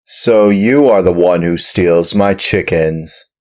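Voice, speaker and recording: Dude playing a mad gruff farmer, loud and rough, barks out threats. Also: male voice, sits low, slow, pitched above the performer's own voice. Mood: angry gruff. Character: angry rough middle-aged farmer. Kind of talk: storybook reading.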